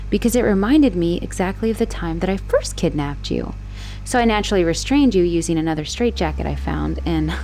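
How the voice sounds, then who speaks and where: An obsessive, manipulative young female fugitive, acted out by a woman in an audio roleplay, with a medium-pitched voice that swings freely up and down. She is teasing and possessive, flipping between sweetness and exasperation. A faint vehicle-like hum runs behind her. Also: a little mellow, natural voice. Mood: teasing, casual. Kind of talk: dramatic roleplay audio monologue addressed to the listener.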